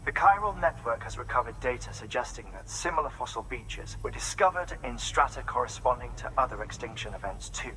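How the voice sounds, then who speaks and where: An actor playing an earnest, scholarly scientist in a video game, explaining his findings in a measured, articulate, didactic manner. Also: mid-range voice, lively intonation, pushed and bright, slight background noise, low-quality recording. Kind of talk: video game cutscene exposition.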